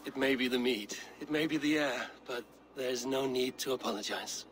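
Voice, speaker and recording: An actor playing a bold, blunt Viking warrior in a dramatic game cutscene, speaking in a direct, terse way. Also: male voice, medium pitch, steady tone, moderate pace, a bit bright, lightly acted. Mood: tender, reserved.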